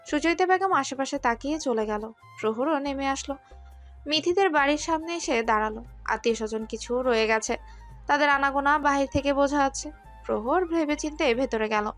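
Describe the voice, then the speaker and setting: A woman's voice: sits high, fairly quick, faint music behind. A woman narrating a scripted romantic story.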